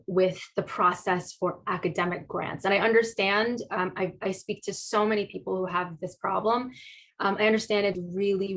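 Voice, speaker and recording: A woman's voice: fairly low pitch, fairly bright tone, low-quality recording. A woman artist answering audience questions at a talk, thoughtful and hesitant, with many fillers and restarts. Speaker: guest speaker, artist.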